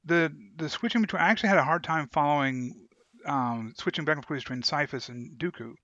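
A man co-hosting a podcast, chatting casually and haltingly as he searches for words. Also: on the high side, bright, forceful tone, no room sound, lo-fi sound. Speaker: podcast co-host. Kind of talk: casual chat reviewing an audio drama.

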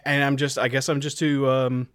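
A man's voice: mid-range voice, flat delivery, moderate pace, fairly bright tone, clean tone, no room sound, clean studio sound. A man co-hosting a podcast in casual, conversational talk. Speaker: podcast co-host.

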